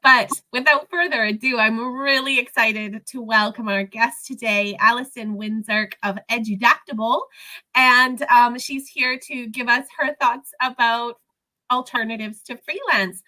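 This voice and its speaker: A woman hosting a live stream, a little hoarse after an illness, upbeat and welcoming as she sets up the show. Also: on the high side, fairly even intonation, a little slow, pushed and bright, poor audio. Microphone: video call mic.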